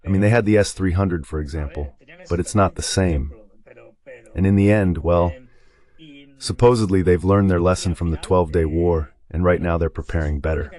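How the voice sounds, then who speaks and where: A man's voice: low voice, steady tone, moderate pace, a little mellow. A man as a talk show guest in a voiced-over translation, giving conversational political commentary.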